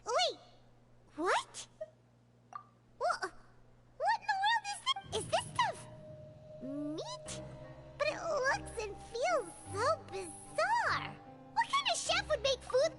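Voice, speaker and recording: A woman's voice: extremely high pitch, wildly swooping pitch, slow, long pauses, a bit bright, exaggerated voice. A woman voicing a tiny, chatty, dramatic fairy companion who talks about herself in third person, in game dialogue. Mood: baffled. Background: game music.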